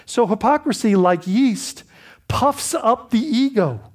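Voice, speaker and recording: A man's voice: very high-pitched, animated, slow pace. A man from the Bahamas preaching a sermon as pastor, in a conversational style with many restarts and rhetorical questions.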